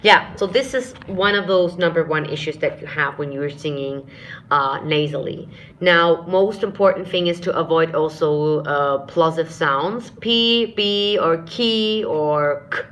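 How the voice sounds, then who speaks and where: A woman's voice: low-pitched, a little slow. A woman vocal coach giving a live-streamed singing lesson, explaining technique, answering viewer questions and demonstrating sounds herself. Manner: explanatory, demonstrates sounds and short sung phrases while teaching; addresses viewers warmly as friends and dears.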